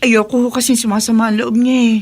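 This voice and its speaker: A woman's voice: on the high side, little pitch movement, fast. Patient, pleading Filipino mother. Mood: placating. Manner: gentle, pleading, placating. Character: patient, worried, hardworking mother of a teenager. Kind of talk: radio drama acting.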